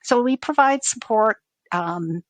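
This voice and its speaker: A woman's voice: fairly high pitch, lively intonation, slow pace, dry recording. A middle-aged woman from Dublin with a faded Irish accent gives conversational interview answers, often rephrasing as she goes. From Dublin, Ireland. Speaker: university IT executive, interview guest.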